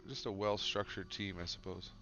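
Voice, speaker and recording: Stuffy-nosed male streamer, low and sharp-edged, relaxed musing with quick excited outbursts. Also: muffled recording. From Canada. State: stuffed up with a cold. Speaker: streamer playing a football management game. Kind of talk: casual gameplay commentary.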